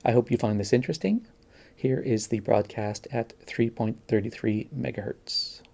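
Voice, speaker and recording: A man's voice: mid-range voice, normal speed, creaky, very noisy. A man narrating his own recordings in an informal, explanatory way.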